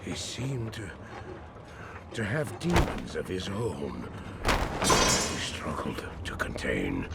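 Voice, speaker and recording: An actor playing a haunted, guilt-ridden, half-mad man in a game cinematic, halting and fearful, repeating himself. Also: sits low, wildly swooping pitch, gravelly, very noisy, heavily acted. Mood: haunted, weary. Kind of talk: dramatic video game cinematic monologue. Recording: loud music behind the voice. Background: cinematic music.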